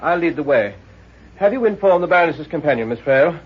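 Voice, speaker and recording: Proper, uneasy radio-drama hotel manager; raspy, polite, on a muffled old recording. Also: male voice, a little high, expressive, fairly quick. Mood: businesslike. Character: anxious, officious, polite male hotel manager. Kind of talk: old-time radio mystery acting. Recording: dull vintage radio recording.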